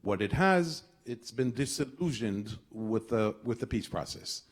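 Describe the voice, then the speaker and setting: A man's voice: medium pitch, lively intonation, measured pace, breathy edge. A man from Israel, a former diplomat speaking on a panel in a wry, ironic way. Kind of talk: panel discussion.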